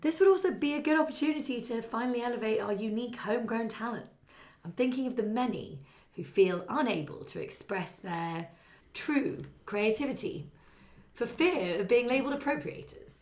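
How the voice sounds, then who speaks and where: An actress in a satirical radio play as a smug culture minister, glib and eagerly populist. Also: female voice, fairly high pitch, expressive, moderate pace, darkish tone. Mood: smugly enthusiastic.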